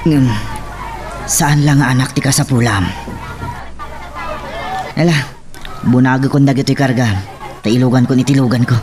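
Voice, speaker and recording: A man's voice: mid-range voice, fairly even intonation, measured pace, long pauses, a crowd in the background, natural voice. A man playing a helpful, friendly tricycle driver in an Ilocano drama, with an Ilocano accent and a casual, persuasive manner.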